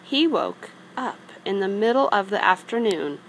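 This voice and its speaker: A woman's voice: medium pitch, big pitch swings, unhurried, a little hiss or hum, poor audio. A woman reading a children's story aloud in a narrating style, as a storybook reader.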